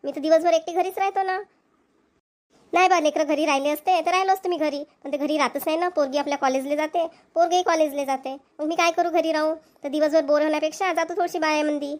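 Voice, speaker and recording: Lady doing a stubborn village wife in a marathi cartoon, high voice, varhadi accent, talks back a lot. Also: steady tone, fast talker, natural voice. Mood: defiant. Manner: argumentative, colloquial. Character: headstrong, talkative village wife and mother, farm labourer. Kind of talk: cartoon comedy dialogue.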